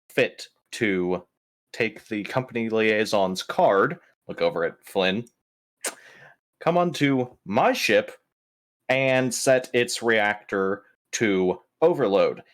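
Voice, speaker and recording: A man bantering casually during a tabletop roleplaying game session. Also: on the low side.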